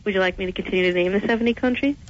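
A man's voice: high voice, very fast. Patient, dryly amused man recruiter on the phone. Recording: muffled phone call with faint hiss.

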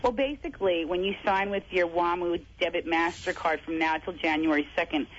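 Chatty woman guest, lowish voice. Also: a little fast, highs cut off, a little hiss or hum. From first-generation American, family from Latvia. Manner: chatty, full of "like" and "you know" fillers. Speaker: actress guest. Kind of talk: casual interview chat.

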